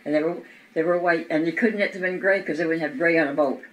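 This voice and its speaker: A man's voice: a little high, quick pace, a bit bright, rough recording. An older man, reminiscing in a folksy way and repeating himself for emphasis. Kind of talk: casual reminiscing chat.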